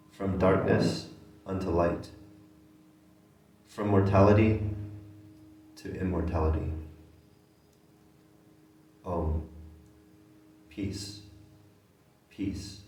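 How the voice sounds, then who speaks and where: A man leading a guided meditation as a teacher, speaking gently in sparse phrases with long pauses. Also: low-pitched, slight background noise. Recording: echoey room, distant microphone.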